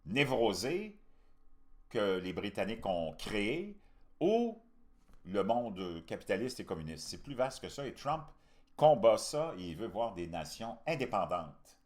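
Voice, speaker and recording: A man's voice: fairly low pitch, very animated, measured pace. A man from Canada with a Québécois accent gives casual, opinionated political commentary as a host, speaking colloquially and emphatically. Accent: Québécois French.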